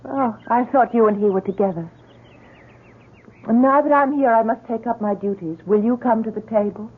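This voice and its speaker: An actress playing a gentle, dutiful young woman in an old-time radio drama, tender yet guarded. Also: a little high, normal speed, husky. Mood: brisk, dutiful. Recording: narrow, tinny vintage radio recording.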